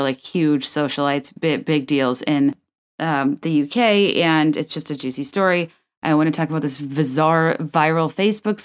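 Woman in her 30s, podcast host, deep voice, low and soft late-night tone, rambling chatter. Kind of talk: casual solo podcast chat.

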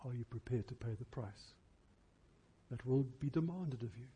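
A man preaching a revival sermon earnestly and deliberately, pressing his questions with repeated emphasis. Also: medium pitch, mellow. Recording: husky, very reverberant church hall sound.

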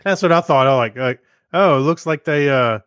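A man's voice: on the high side, moderate pace, fairly bright tone, clean tone, no room sound, studio quality. A man co-hosting a podcast in casual, conversational style, reacting to his partner. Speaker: podcast co-host. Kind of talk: casual chat, reacting to co-host.

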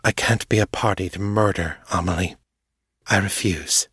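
A man's voice: low voice, steady tone, normal speed, fairly bright tone, husky, no room sound, studio quality, full character voice. A man voicing a blunt, principled female vampire who presses her points directly. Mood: pressing.

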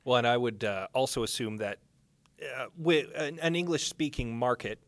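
A man's voice: medium pitch, moderate pace, a bit bright, no echo. A man hosting a podcast, conducting a casual live interview in an informal, joking way.

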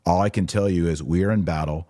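A man's voice: deep voice, fast talker, mellow, no echo, clean studio sound. A man hosting a podcast, giving emphatic live commentary and answering viewers, often repeating short phrases for stress. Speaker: podcast host and religious commentator.

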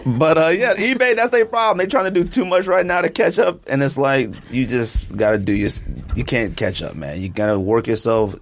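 A man co-hosting a podcast, talking in a laid-back, slangy, joking way. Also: slight background noise. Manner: laid-back, slangy, jokey, pitch jumps when animated. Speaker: podcast co-host. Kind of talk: casual chat.

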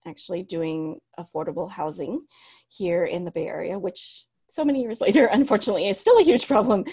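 Woman, thirties, interview guest, lowish voice, reflective, pauses and restarts. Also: husky. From United States. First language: Cantonese. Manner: reflective, many restarts and ums, laughs softly. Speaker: guest, author. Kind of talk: candid interview storytelling.

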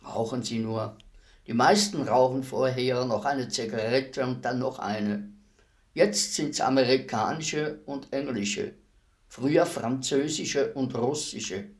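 Man acting as humble executioner, mid-pitched male voice, polite, plain, terse, deferential, radio play. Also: takes it slowly, natural voice. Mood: humble, subdued. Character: polite, servile state executioner, matter-of-fact, quietly humble. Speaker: radio drama actor.

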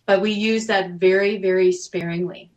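A woman speaking thoughtfully and in a measured way as a writer on a panel. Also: mid-range voice, fairly even intonation. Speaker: audio fiction writer, panel guest.

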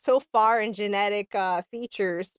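Woman, clear smooth voice, unhurried halting casual talk, dry recording. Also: mid-range voice, no echo. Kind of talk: casual chat.

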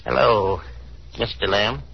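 A man's voice: fairly low pitch, slow, gravelly, a little hiss or hum. An actor playing an overwrought middle-aged father in a 1930s radio drama, agitated, stammering and folksy in his speech. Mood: nervous. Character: overwrought aging father, ruined small factory owner, defiant. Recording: muffled 1930s radio broadcast recording.

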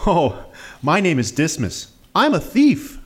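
A man with a deep baritone voice playing a starved, flea-bitten boy thief. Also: huge pitch swings, takes it slowly, husky, exaggerated voice. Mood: cheeky. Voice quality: deep baritone voice pushed high.

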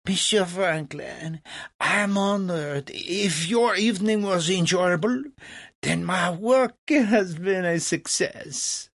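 A man's voice: sits high, slow pace, fairly bright tone, no echo, studio quality, voice pushed up, full character voice. A man voicing a pompous, boastful French host in a storybook, with a grandiose, emphatic manner. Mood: proud, honored.

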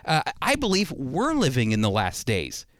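A man's voice: mid-range voice, wildly swooping pitch, normal speed, fairly bright tone, no echo, clean studio sound. A man preaching a sermon, addressing listeners directly and repeating key points for emphasis. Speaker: preacher and Bible teacher.

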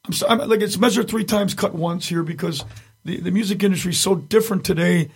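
A raspy-voiced middle-aged man, a tribute singer, chatting casually as an interview guest and telling rambling stories with many restarts. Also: high-pitched, quick pace. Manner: rambling storyteller, many restarts, frequent chuckles.

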